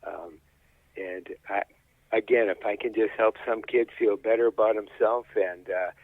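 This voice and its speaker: A man's voice: fairly low pitch, fairly even intonation, moderate pace, no echo. An older man talking casually in an interview, in a plain-spoken, folksy, hesitant way, on slightly dull audio. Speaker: high school sports announcer being interviewed.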